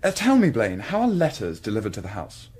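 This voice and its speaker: Casting: discreet Victorian English gentleman, formal and polite, husky mid-pitched voice, lively inflection, period drama. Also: fairly quick, exaggerated voice. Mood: polite, brisk. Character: courteous, guarded Victorian gentleman researcher, discreetly inquisitive. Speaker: actor in an audio drama. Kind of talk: period radio drama acting.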